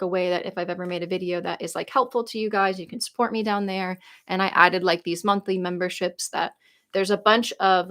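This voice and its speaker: A woman's voice: mid-range voice, fairly bright tone. Chatty young woman rambling on a live stream. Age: 20s. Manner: chatty, rambling, lots of "like" fillers and restarts; laughs easily while reading comments aloud. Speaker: YouTuber answering viewer questions. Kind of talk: casual live-stream Q&A chat.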